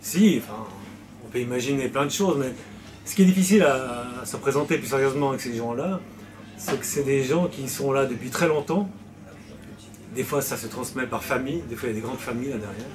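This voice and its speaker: A man's voice: medium pitch, fairly quick, noisy background. A man from Switzerland, a novelist answering interview questions in a hesitant way, with many fillers and restarts.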